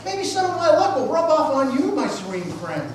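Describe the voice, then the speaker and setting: Lady playing big boastful tiger in a stage show, high and theatrical, distant noisy recording. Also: very animated, normal speed. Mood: exuberant. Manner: theatrical, exuberant, laughing. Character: boisterous, boastful, lucky tiger of Chinese zodiac. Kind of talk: live amateur stage play. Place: live performance in a roomy indoor space. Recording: echoey noisy hall from far off.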